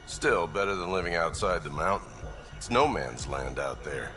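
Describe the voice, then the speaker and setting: A deep, wry port worker. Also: male voice, sing-song, normal speed, music in the background. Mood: wry, casual. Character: wry, world-weary male frontier port worker. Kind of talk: video game cutscene acting.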